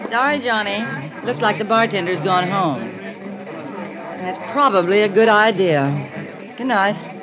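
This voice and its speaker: A woman's voice: mid-range voice, slow pace, pauses often, crowd noise, natural voice, poor audio. An actress playing a sardonic young party girl with a bored, dry manner in a 1950s radio drama. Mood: jaded, wistful. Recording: 1950s radio broadcast with music.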